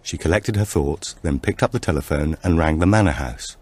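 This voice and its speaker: A man reading a suspense novel as an audiobook narrator, in a measured storytelling manner. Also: a bass voice, breathy edge, no echo.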